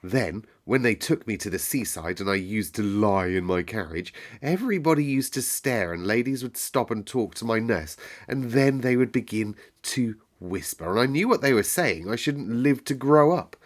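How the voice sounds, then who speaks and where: A man's voice: fairly low pitch, lively intonation, normal speed, few pauses, lightly acted. A man voicing a sickly, haughty young boy from a storybook, brooding and earnest in manner. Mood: brooding, defiant. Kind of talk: storybook character reading.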